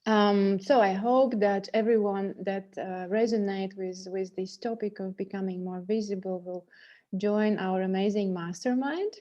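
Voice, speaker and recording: A woman's voice: medium pitch, measured pace, dark tone, highs cut off. A woman hosting an interview over a video call, speaking hesitantly with many fillers. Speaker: interview host. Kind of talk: interview, casual chat.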